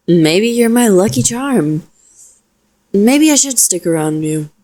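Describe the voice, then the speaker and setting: A woman playing a protective, self-deprecating young witch in an audio roleplay, talking softly and reassuringly to the listener. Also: medium pitch, lively intonation, slow. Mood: shy, warm.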